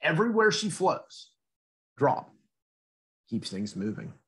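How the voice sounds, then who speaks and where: A man's voice: fairly high pitch, big pitch swings, slow pace, a bit bright, slightly rough. A man reading a children's picture book aloud expressively, addressing young listeners with questions and asides. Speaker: read-aloud storyteller.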